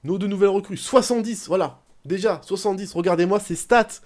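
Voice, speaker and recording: A young man commenting casually on his football video game career, rambling, with bursts of excitement. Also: sits high, a little fast. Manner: chatty, rambling, bursts into excited shouting at goals. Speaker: gaming YouTuber.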